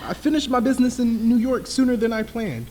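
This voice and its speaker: Husky, coaxing husband played by a woman. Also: a little high, brisk, darkish tone, lightly acted. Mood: soothing. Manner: coaxing, reassuring. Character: soothing husband just home from business. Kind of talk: radio play acting.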